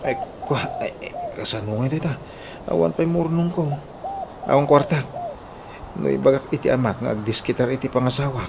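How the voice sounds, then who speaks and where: A man's voice: mid-range voice, fairly quick, vocal fry, pauses often, close to an everyday voice. A man with an Ilocano Filipino accent playing an evasive, penniless young boyfriend, stammering and apologetic, in a comic radio drama. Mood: flustered. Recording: noisy radio drama recording.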